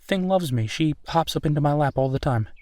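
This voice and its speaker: A man playing an easygoing, playful werewolf boyfriend in a roleplay audio, talking casually and haltingly with a self-deprecating streak. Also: on the high side, animated, dark tone, no room sound, natural voice. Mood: playful, relaxed. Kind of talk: intimate boyfriend roleplay audio, improvised-sounding one-sided conversation.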